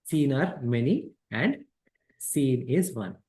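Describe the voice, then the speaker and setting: A middle-aged man leads a class at an unhurried, deliberate pace, his voice mellow and soft-edged. He builds each point step by step, repeats himself and keeps putting questions to the students. He talks into a headset microphone. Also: mid-range voice, lively intonation. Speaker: teacher. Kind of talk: interactive class on a scripture text.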